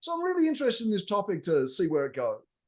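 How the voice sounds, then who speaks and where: A man's voice: sits high, huge pitch swings, fast, darkish tone. A middle-aged man with an Australian accent, a guest explaining technology in a fluent, business-like way. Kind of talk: interview answers.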